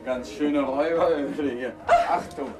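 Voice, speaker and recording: An actor playing a casual, reassuring husband in a 1950s German radio drama. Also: male voice, on the high side, fairly even intonation, moderate pace, loud music behind the voice, natural voice. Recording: radio play with vehicle noise.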